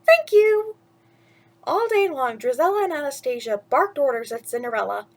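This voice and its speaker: A woman with a high-pitched voice talks as the host of a children's storytime. She is casual and chatty, and reads with expression, as for a bedtime story. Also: very animated, a little fast.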